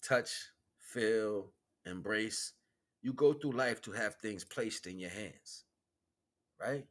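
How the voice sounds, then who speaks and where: An American man hosting a livestream, giving an informal spiritual monologue in an animated, colloquial, preaching way with repeated phrases. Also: fairly low pitch, slow pace. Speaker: livestream host.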